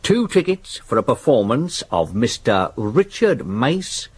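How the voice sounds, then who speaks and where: An actor playing a dry, deferential Victorian police sergeant with an English accent in a radio drama. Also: male voice, mid-range voice, very animated, unhurried, darkish tone, breathy edge, no echo. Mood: reporting. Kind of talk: 1970s radio drama acting. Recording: slightly noisy vintage radio recording.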